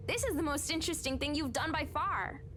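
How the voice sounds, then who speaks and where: A woman's voice: high voice, a little fast, fairly bright tone, slight background noise. A woman voicing a taunting, playful yet menacing young female ghost in a horror visual novel game, with a teasing, theatrical delivery. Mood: teasing, daring.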